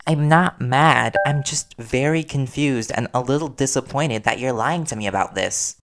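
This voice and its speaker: A man playing a worried reporter boyfriend in an intimate audio roleplay, earnest and halting as he gently confronts his partner. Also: mid-range voice, natural voice. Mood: concerned, disappointed.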